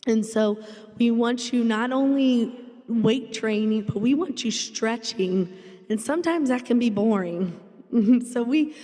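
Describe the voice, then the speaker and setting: Woman, scratchy voice, mellow tone, occupational therapist, brisk, reverberant conference hall. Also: mid-range voice. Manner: brisk, encouraging. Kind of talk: panel talk at a symposium.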